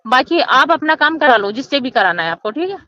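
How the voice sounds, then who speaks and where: A woman's voice: high voice, very animated, brisk, pushed and bright, a little hiss or hum. A woman from arguing insistently on a phone call, scolding and talking over the other person. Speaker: agent handling housing forms. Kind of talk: heated phone argument.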